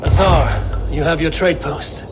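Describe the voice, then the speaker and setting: An actor playing a composed, purposeful Viking warrior hero in a video game, speaking in a measured, direct way. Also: male voice, a little high, steady tone, noisy background, natural voice. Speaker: game protagonist. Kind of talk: video game cutscene acting.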